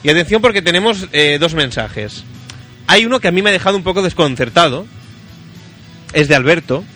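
A male radio host from Spain with a very bright, fairly high voice. He chats casually and reads out emails, leaning emphatically on repeated phrases. Music plays behind him. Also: lively intonation, fairly quick. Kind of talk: casual radio chat, reading listener emails.

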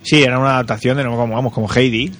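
A man's voice: mid-range voice, fairly even intonation, rapid-fire, fairly bright tone, soft background music. A man with a Spain Spanish accent co-hosting casual comedic radio chat, jokey and repeating words for emphasis. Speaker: radio co-host.

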